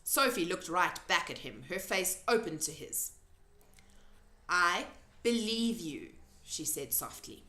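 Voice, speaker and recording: A woman's voice: on the low side, sing-song, moderate pace, hardly any pauses, bright, forceful tone, echoey room. A woman narrating a children's story expressively, as an amateur reader.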